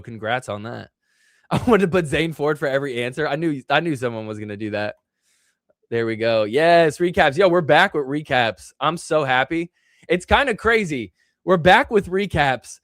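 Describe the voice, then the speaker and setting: A man's voice: a little high, a bit bright, dry recording, studio quality. A man hosting a casual live stream, rambling with lots of fillers, reading out the chat, his voice still recovering from being lost. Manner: rambling, filler-heavy, reads chat aloud. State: recovering from illness and voice loss. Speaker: host of a live stream show.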